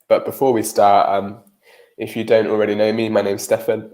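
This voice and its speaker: British man, stuffy nasal voice from a cold, fairly low, steady webinar delivery, lots of ums. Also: normal speed. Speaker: presenter of a career webinar. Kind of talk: live-streamed slide presentation.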